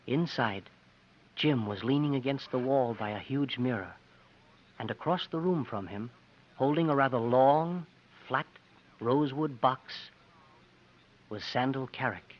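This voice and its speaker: A man's voice: mid-range voice, a little hiss or hum, lightly acted. An actor playing an earnest young man who narrates his frontier adventure in 1950s radio drama style. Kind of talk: 1950s radio acting, first-person storytelling. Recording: dull 1950s radio recording with music.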